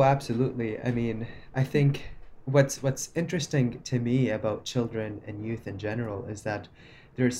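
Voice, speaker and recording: A man's voice: fairly low pitch, a little hiss or hum. A man from Lebanon, a guest founder of a nonprofit, speaking thoughtfully in a casual podcast conversation. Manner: thoughtful, with frequent restarts and soft laughs.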